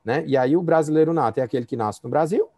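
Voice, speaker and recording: Gravelly male law professor lecturing. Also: a little high, quick pace, dark tone, no room sound. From Brazil. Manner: conversational, many fillers and restarts. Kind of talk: university lecture giving feedback.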